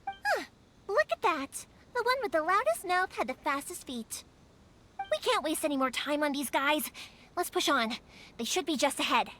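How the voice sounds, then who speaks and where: A woman's voice: sits high, sing-song, moderate pace, sharp tone, slight background noise, full character voice. A woman voicing a spirited, bold young woman who makes fireworks, in video game dialogue. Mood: cheerful. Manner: bold, bubbly, insistent.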